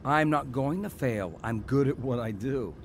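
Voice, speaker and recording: A man's voice: medium pitch, expressive, measured pace, a little hiss or hum. An actor playing an arrogant, cynical businessman older brother in a radio drama, lecturing bluntly and condescendingly. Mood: boastful, defensive.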